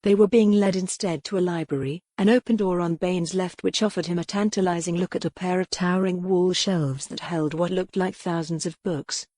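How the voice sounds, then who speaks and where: A woman narrating a novel in a measured, even storytelling manner on a close mic. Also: on the low side, no room sound. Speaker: audiobook narrator. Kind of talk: scripted narration.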